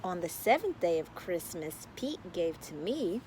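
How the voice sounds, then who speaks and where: A woman reads a picture book aloud for children with a very animated, swooping voice. Her pace is unhurried, with words drawn out in a playful sing-song. Some background ambient noise sits behind her. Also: fairly low pitch, rough recording. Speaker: read-aloud story time host.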